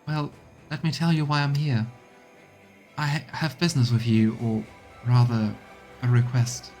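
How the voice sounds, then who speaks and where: A man's voice: mid-range voice, little pitch movement, a little slow, darkish tone, faint music behind, natural voice. A man voicing an earnest young woman, a remorseful former deserter in a game, pleading with resolve. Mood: hesitant.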